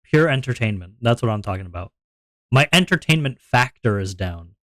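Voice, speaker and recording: A man with a deep voice, streaming and chatting casually to his viewers in a rambling, self-reflective way, often repeating his words. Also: lively intonation, normal speed, a bit bright, no room sound.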